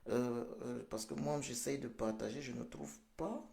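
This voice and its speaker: A middle-aged man, recovering from a hospital stay, hosting a live-stream political address in a solemn, slow, repetitive way full of fillers. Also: medium pitch, creaky, darkish tone. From Cameroon. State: convalescent after hospital stay. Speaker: political livestream host.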